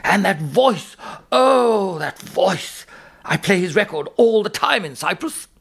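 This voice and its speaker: A pompous Irish academic gives a mock documentary interview. His creaky voice swoops about with fussy, snobbish flourishes and an Irish accent. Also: sits high, expressive, a bit bright, full character voice. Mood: swooning. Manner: pompous, hesitant, theatrically drawn-out.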